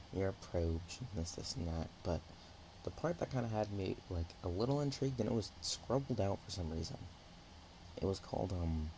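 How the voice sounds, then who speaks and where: A man voicing a shy, playful young dragon-dog girl in an ASMR roleplay, speaking softly and haltingly with naive affection. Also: low-pitched, slight background noise, close to an everyday voice, low-quality recording. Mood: curious, hesitant. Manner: hesitant, halting, giggly, soft-spoken. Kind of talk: ASMR roleplay, one-sided intimate chat.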